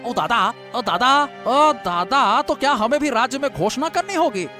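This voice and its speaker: An actor playing a wily, flattering male villager who feigns humble concern in a melodramatic story, theatrical and obsequious. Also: mid-range voice, a little slow, soft background music. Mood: agitated. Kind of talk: melodramatic storybook audio drama.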